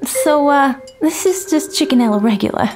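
A woman playing a chatty, gently needling housewife in a 1950s radio drama, wry and expressive. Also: high voice, moderate pace, background noise, natural voice. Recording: music behind the voice.

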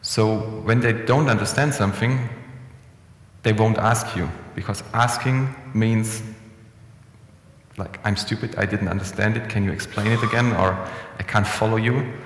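Young man, easygoing conference speaker, gravelly voice, echoey room sound. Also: fairly low pitch, moderate pace, slight background noise. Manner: relaxed, conversational, frequent restarts and fillers. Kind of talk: conference presentation. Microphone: headset mic. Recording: echoey hall with long reverb.

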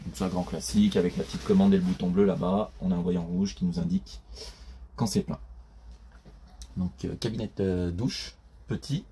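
A man's voice: sits low, normal speed, creaky, long pauses, dark tone, slight background noise. A man, casually walking through a vehicle's features as he films inside a small camper van. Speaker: camper van dealer, co-presenter filming. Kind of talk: casual product walkthrough. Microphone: held camera, speaker behind it.

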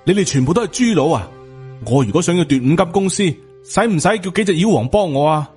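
A man's voice: a little high, lively intonation, fast, darkish tone, voice pushed up, lightly acted. Proud, easily outraged male Taoist master played by a male narrator, Hong Kong Cantonese accent, audiobook story. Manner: indignant, confrontational. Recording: music under the voice.

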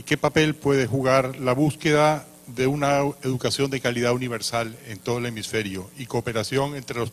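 A man's voice: medium pitch, fairly bright tone, a little hiss or hum. Formal man asking from the audience. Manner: formal, deliberate. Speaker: business leader in audience. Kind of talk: audience question. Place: large conference hall.

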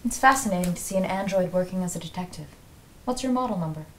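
Quick, composed android woman played by a woman. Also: fairly low pitch, lively intonation, fairly bright tone, slight background noise, low-quality recording. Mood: polite, curious. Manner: courteous, composed. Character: polite, composed, curious female android representative. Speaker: actress reading an android representative at a table read. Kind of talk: scripted table read.

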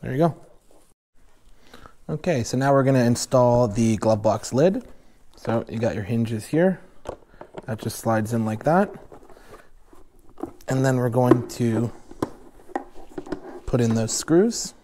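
A young man casually walking viewers through installing a snowmobile accessory in a garage workshop, relaxed and plain-spoken with many fillers. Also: mid-range voice, slow pace, long pauses, a little hiss or hum. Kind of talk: casual step-by-step install walkthrough.

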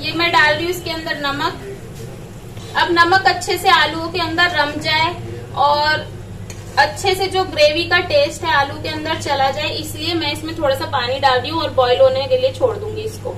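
A woman's voice: high voice, steady tone, normal speed, sharp tone, distant mic, slight background noise, low-quality recording. A woman explaining a recipe step by step as she cooks in her home kitchen. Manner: step-by-step instructing. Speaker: home cook vlogger. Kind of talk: cooking demonstration.

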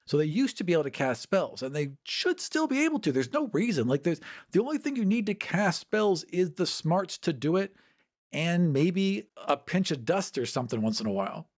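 A man with a voice a little on the high side discusses fantasy game lore on a podcast. His delivery is conversational, with emphatic stresses on his points. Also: big pitch swings, normal speed. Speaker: podcast panelist. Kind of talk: casual animated discussion of tabletop game lore.

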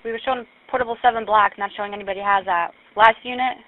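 A woman's voice: medium pitch, fairly even intonation, fast. A woman working as a police dispatcher, speaking in terse, procedural radio calls over a police radio. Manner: clipped, procedural. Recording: tinny, very noisy police radio with clicks.

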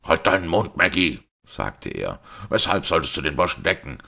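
A man's voice: rumbling low, very animated, normal speed, gravelly, sharp tone, voice pushed up, heavily acted. A man voicing a bitter, blustering old nobleman who hisses harshly. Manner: hissing, harsh.

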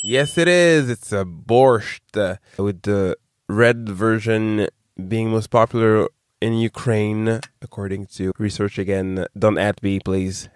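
A man's voice: on the low side, steady tone, clear, resonant tone, no room sound. Man reading trivia questions, dry sound. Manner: reads questions slowly and deliberately, then chats loosely. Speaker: quizmaster. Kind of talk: reading trivia questions, casual podcast chat.

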